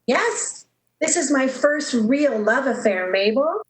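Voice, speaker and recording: A woman's voice: high-pitched, lively intonation, slow. A woman playing a dreamy, lovestruck young switchboard operator in 1930s radio style, earnest and gushing. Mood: dreamy, excited.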